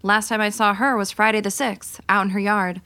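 A woman's voice: medium pitch, fairly even intonation, brisk, pushed and bright, no room sound. A woman playing a talkative, folksy 1950s housewife neighbor in a comedy radio play. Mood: friendly.

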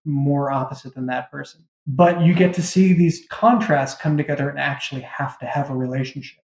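A man's voice: medium pitch, a little fast, creaky, a little mellow. A man being interviewed as a writer, chatting casually as he explains his ideas. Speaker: novelist and creator, interview guest. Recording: echoey room sound.

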